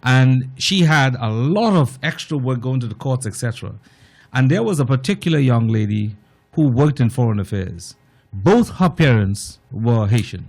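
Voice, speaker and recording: A man's voice: mid-range voice, expressive, normal speed, a little mellow. Emphatic Bahamian man debating. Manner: emphatic, argues points with personal anecdotes. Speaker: talk show panelist. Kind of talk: casual panel discussion.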